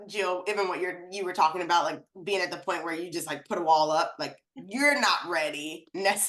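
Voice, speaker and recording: A woman co-hosts a podcast in a supportive, affirming manner, explaining her ideas in loose, conversational phrasing full of "like" and "you know." Her voice sits on the lower side for a woman. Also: fairly bright tone. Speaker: podcast co-host. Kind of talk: casual conversation. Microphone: video call.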